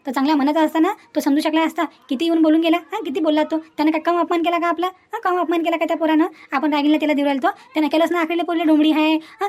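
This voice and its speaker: A woman's voice: sits high, a little mellow, close to an everyday voice. A woman voicing an old, sensible grandmother in a cartoon family melodrama, folksy and persuasive, with a Vidarbha Marathi accent.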